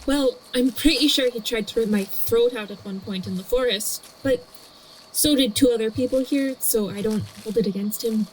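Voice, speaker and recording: Unbothered young woman, actress: highish voice, blunt, deadpan, a little noise behind. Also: moderate pace, natural voice, poor audio. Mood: dry, unbothered. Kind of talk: podfic audio drama acting.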